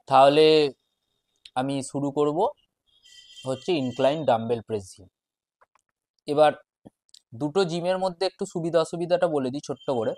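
A man in his twenties with a West Bengal Bengali accent, chatting casually to camera in short, halting phrases as a fitness vlogger. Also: on the high side, darkish tone, no echo. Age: 20s. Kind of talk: casual vlog chat to camera. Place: small bedroom at home, indoors. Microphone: wireless lapel mic.

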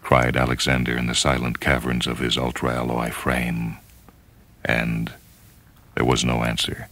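An American man reading scripted dramatic narration for a radio story program in a measured, solemn way. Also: rumbling low, monotone, fairly bright tone. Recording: music under the voice. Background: music.

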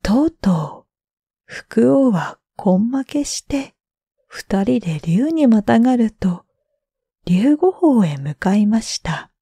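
A woman narrating bedtime stories for sleep in a gentle, drawn-out way with breathy phrase endings. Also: on the high side, sing-song, no room sound, studio quality. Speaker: storyteller, freelance announcer.

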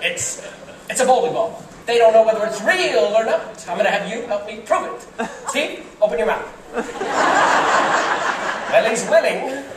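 Male stage magician, wisecracking, animated, heard from the back of a roomy theater. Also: sits high, very noisy. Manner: wisecracking, teasing a volunteer. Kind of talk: live comedy magic act. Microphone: far audience camera. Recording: roomy theater sound from far off. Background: laughing, cheering audience.

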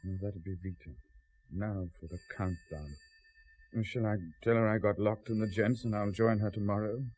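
An actor with a British accent playing a weary, obsessive modern man in a radio drama, clipped and halting. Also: lowish voice, steady tone, a little mellow, slightly rough, rough recording. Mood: brisk, relieved. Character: weary, obsessive modern Englishman in a drug's grip.

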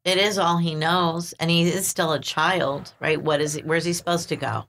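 A woman's voice: deep voice, fairly even intonation, moderate pace, vocal fry, a bit bright. A woman chatting casually about poems as a panelist on a literary podcast.

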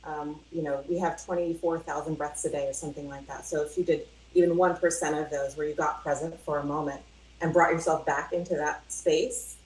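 A woman's voice: low voice, fairly even intonation, a little fast, a little mellow. A middle-aged woman speaking on a video call, explaining in a conversational coaching style. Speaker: guest giving mindfulness advice. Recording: roomy video call with faint hum.

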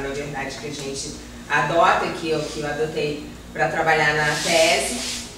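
A middle-aged woman with a Brazilian accent gives an informal lecture to a class in a hesitant, self-deprecating way, repeating words as she searches for the next one. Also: medium pitch. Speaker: guest lecturer, environmental civil servant. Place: classroom with projector, indoors. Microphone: far camera. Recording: roomy, noisy classroom recorded from far off.

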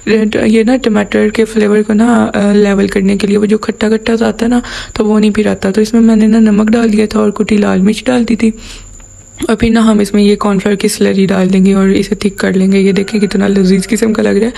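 A woman's voice: medium pitch, flat delivery, brisk, creaky, mellow. A young woman, chatting casually through a home cooking voice-over. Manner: chatty, lots of filler "na", giggles. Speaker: cooking vlogger.

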